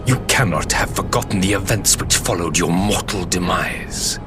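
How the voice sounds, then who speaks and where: A man as a deep-voiced, stern emperor. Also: moderate pace, close to an everyday voice. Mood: accusing. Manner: formal, grave, imperious. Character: stern, ruthless, proud young-line emperor of a military empire. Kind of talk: video game voice acting, dramatic dialogue. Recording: loud music behind the voice. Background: loud game music.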